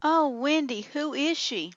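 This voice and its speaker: Brief: woman playing a blunt young boy, unhurried delivery, dull lo-fi sound. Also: high-pitched, expressive, voice pushed up. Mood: excited. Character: excitable, rough-and-tumble young boy.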